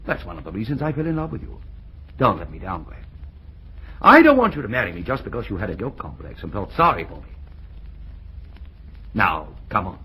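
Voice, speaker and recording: Bright, animated, wry older suitor. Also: male voice, on the low side, brisk, long pauses, heavily acted, poor audio. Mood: gallant, rueful. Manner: theatrical, wry. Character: older jilted fiancé, gallant, wry and resigned. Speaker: radio play actor. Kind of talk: old-time radio comedy acting. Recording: old radio recording, faint noise.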